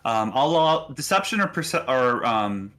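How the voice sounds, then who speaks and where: A man casually narrating a tabletop roleplaying game as game master, explaining things in a halting, informal way. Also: medium pitch, very animated, fairly bright tone.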